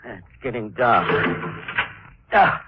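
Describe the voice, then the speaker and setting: A man's voice: medium pitch, slow pace. An actor playing a grumbling, jittery villain's henchman in 1940s radio drama style. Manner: grumbling, excitable. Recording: dull, hissy 1940s radio recording.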